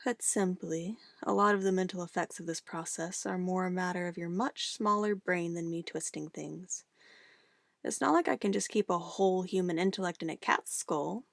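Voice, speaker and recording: A woman playing a sweetly menacing, possessive witch in an ASMR roleplay, cooing and coaxing condescendingly in a soft voice. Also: on the low side, moderate pace, gravelly, dry recording, studio quality. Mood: smug, calmly menacing. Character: possessive young-sounding witch, sweetly menacing, doting on her familiar.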